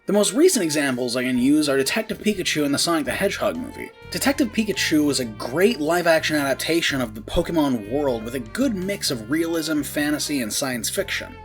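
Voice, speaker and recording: A man with a fairly high voice hosts a scripted video essay. His pitch swings in a very lively way as he narrates his commentary, with some music behind him. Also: a little fast, hardly any pauses.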